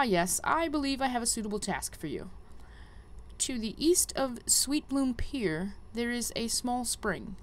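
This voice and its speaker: A woman's voice: on the high side, a little hiss or hum. A woman voicing an earnest, inexperienced young female conjurer from a fantasy game, polite and hesitant as she reads the quest dialogue. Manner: polite, hesitant, archaic phrasing.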